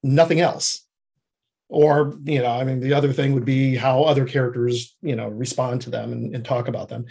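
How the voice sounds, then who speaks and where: A man speaking as an interview guest, explaining his craft in a halting, explanatory way. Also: medium pitch, gravelly, darkish tone, husky. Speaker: guest screenwriter.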